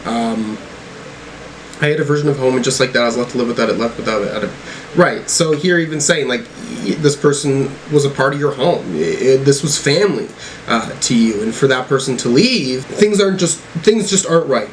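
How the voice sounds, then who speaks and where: A man giving casual, off-the-cuff music commentary as a reviewer, in a halting, informal way with some swearing. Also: medium pitch, expressive, slight background noise, low-quality recording.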